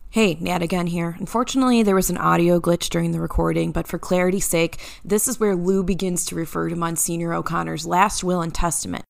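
Female podcast host, lowish register, noticeable fry, informal and expressive. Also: a little fast, few pauses. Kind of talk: conversational podcast hosting.

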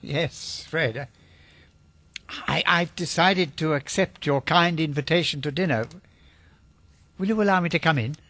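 An actor playing a reformed old miser, contrite and generous, effusive and stammering in a radio drama. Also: male voice, a little high, a little slow, long pauses, fairly bright tone. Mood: humble, tender. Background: background music.

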